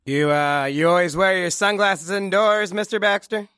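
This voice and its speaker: Suspicious comedy cop, high tense voice, bit of noise. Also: male voice, steady tone, normal speed, pushed and bright, smooth, no echo, studio quality. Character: suspicious, gruff-mannered male police officer. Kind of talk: comic audio drama acting.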